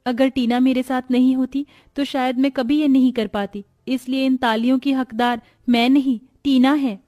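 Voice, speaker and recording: A humble poor young woman from a cartoon morality tale, acted by a woman. Her voice is very high with a mellow tone. She speaks earnestly and pleadingly, like someone hardworking and overlooked. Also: steady tone, moderate pace, no room sound, studio quality, natural voice. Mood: earnest, respectful. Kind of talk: scripted cartoon moral story acting.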